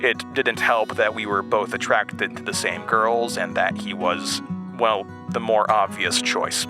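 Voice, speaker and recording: A man playing a nervous young man from a small Midwestern town, recounting his past in a halting, conversational audio drama monologue. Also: on the low side, fairly even intonation, over music, natural voice. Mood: nostalgic. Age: young adult. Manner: hesitant, stammering, rambling. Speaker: audio drama lead character.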